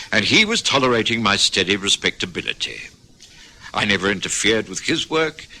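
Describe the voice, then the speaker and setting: A kindly Victorian physician in a radio play. The voice is lowish and rough, with hugely varied intonation. He is respectable, measured and fussily caring. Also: pushed and bright, exaggerated voice. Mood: reflective storytelling. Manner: measured, solicitous. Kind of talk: radio drama narration and dialogue.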